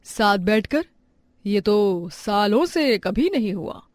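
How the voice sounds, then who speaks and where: A woman's voice: mid-range voice, lively intonation, slow pace, dry recording. Woman acting haughty older rich mother-in-law. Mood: surprised. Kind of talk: dramatized Hindi moral story acting.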